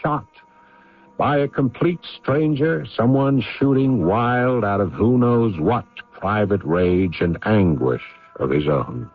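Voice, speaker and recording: Brooding, haunted gentleman, radio drama monologue; slow, widely swinging delivery, self-questioning and halting. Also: fairly low pitch, lively intonation, husky, exaggerated voice. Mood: bitter, grieving. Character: brooding, guilt-ridden gentleman of the house, haunted and lonely. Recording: muffled vintage radio drama recording, background music.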